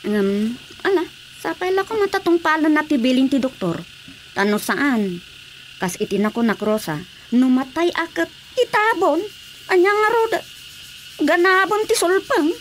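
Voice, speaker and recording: An exasperated village wife in an Ilocano radio drama. Her high voice grumbles and swings widely in pitch, with an Ilocano accent and a little background noise. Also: animated, normal speed, long pauses. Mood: worried, grumbling. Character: exasperated wife of a stubborn, ailing village councilor. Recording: faintly noisy radio drama track.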